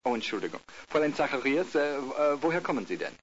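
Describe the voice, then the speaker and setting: A man at ordinary pitch who announces the lessons and plays a courteous street interviewer. He hesitates often, and the tape sounds dull, with a faint hiss. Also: sing-song, quick pace. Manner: polite, with frequent hesitation fillers. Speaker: course narrator and role-play speaker. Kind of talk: scripted language-course dialogue.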